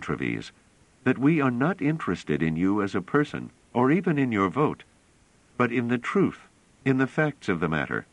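A man voicing a calm young woman who explains patiently and earnestly. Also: fairly low pitch, no room sound, voice pushed up, rough recording.

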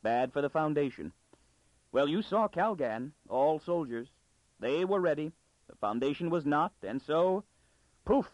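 Man voicing an animated, folksy older husband. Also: on the high side, measured pace, dry recording, lo-fi sound, voice pushed up. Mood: earnest, animated. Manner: folksy inverted syntax, excitable, defensive. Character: plump, good-natured, hearty older husband, a farmer-trader.

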